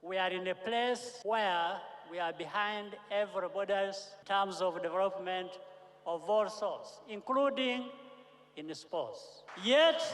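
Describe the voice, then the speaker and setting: A man from Uganda giving a rousing, emphatic speech with dramatic pauses. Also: sits high, a bit bright. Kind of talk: impassioned speech. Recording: echoey, reverberant hall.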